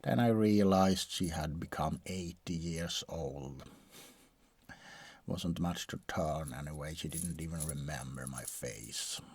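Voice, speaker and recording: Man playing a slow, low-voiced, bored vampire doctor. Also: slight background noise. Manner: hesitant, rambling, weary, dryly morbid. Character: bored, grumpy, centuries-old male vampire doctor. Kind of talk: soft-spoken ASMR roleplay monologue.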